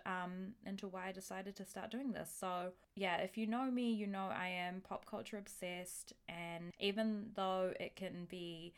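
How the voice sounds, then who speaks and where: A young woman hosting a podcast solo, talking in a casual, chatty, self-deprecating way full of informal slang. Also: lowish voice. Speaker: podcast host.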